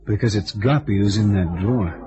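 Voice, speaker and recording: An actor playing a wry, tough but affable amateur sleuth in an old-time radio drama, with streetwise, hesitant turns of phrase. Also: male voice, on the low side, brisk, warm tone, close to an everyday voice. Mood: persuasive, wry. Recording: dull, lo-fi vintage radio recording.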